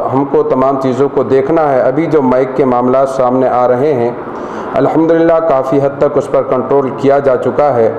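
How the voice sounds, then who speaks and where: A man's voice: medium pitch, darkish tone, dull audio. A man making a public statement and appeal as a community leader. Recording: echoey room, faint background noise.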